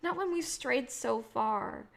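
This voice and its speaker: Female, high voice. An actress playing an idealistic, protective filmmaker burdened by guilt; earnest, pleading stage drama. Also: lively intonation, takes it slowly, creaky, fairly bright tone, full character voice. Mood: anguished, resolute. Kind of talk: staged reading of a drama play.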